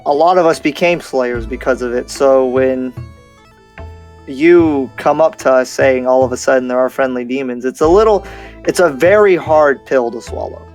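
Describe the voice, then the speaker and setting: A man playing a skeptical, cautious demon slayer in an improvised game roleplay, halting and repeating himself as he reasons. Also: mid-range voice, a little mellow, lightly acted.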